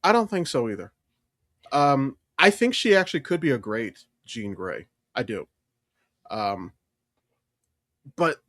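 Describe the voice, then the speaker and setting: A man's voice: mid-range voice, a bit bright, no room sound. Casual American man, dry close sound. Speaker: podcast co-host. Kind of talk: casual chat about comics and films.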